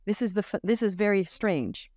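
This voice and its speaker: A woman in her fifties, raised in Australia, talks as a podcast guest in a very dry home room, close to a large studio mic. She tells stories with animation, acting out the voices of the people in them. Her pitch sits in the middle for a woman. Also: moderate pace, dry recording. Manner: animated storyteller, acts out quoted voices, laughs often. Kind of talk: casual interview storytelling. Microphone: large studio mic on boom arm, video call.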